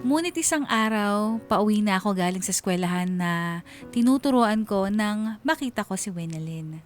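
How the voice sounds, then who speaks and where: A woman's voice: mid-range voice, animated, normal speed, over music, lightly acted. An actress playing a hurt young Filipino woman who confides her life story as first-person narration in a radio drama. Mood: sorrowful.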